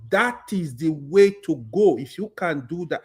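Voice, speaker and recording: Animated man, lively pitch. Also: moderate pace, husky. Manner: animated, emphatic, backs up others. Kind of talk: heated group discussion.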